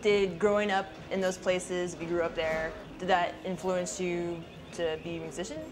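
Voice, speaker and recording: A woman's voice: lowish voice, little pitch movement, moderate pace, crowd noise. A woman hosts the interview, asking friendly, upbeat questions. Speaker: interview host. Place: music club.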